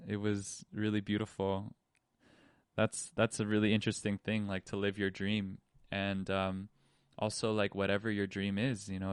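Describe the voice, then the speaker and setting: Man, thirties, podcast host, rambling candid monologue, low voice, dry close sound. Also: clear, resonant tone, dry recording. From Hawaii. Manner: candid, rambling, with many restarts and fillers. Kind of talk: reflective personal monologue.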